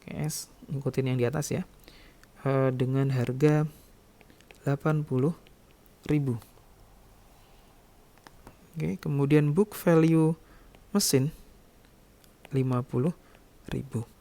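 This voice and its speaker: A male lecturer with a noticeably gravelly edge to his voice. His tone is soft and mellow, sitting at an average male pitch. He explains things in an instructional, step-by-step way, punctuated by small check-in tags. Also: steady tone, takes it slowly, pauses often. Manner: instructional, repeatedly checking with short tag words. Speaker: accounting lecturer.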